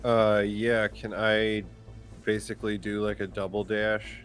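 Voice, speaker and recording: A man with a low, even voice plays a tabletop game. He talks slowly and tentatively, piecing his moves together casually. Light music sits in the background. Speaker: player in a tabletop roleplaying game. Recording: music behind the voice.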